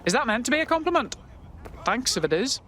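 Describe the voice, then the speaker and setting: An actress playing a nervous, chatty rookie resistance operative in a video game. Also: female voice, on the high side, very animated, quick pace, fairly bright tone. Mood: relieved, cheeky. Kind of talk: video game cutscene acting. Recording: clear vehicle and ambience noise.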